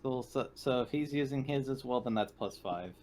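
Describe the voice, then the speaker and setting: A man's voice: medium pitch, normal speed, a little mellow. Man chatting as a tabletop game player. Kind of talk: casual game table talk.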